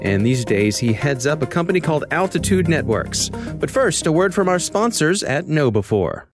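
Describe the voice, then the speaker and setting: A man's voice: a little high, sing-song, music in the background. A man co-hosting a podcast in casual chat, laughing easily and breaking off mid-phrase. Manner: chatty, laughing, halting. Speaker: podcast co-host.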